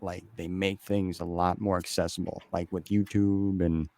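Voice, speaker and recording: Casual man in his late thirties, lowish voice. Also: level tone, moderate pace, warm tone. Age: late 30s. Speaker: interviewer and show host. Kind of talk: casual interview chat.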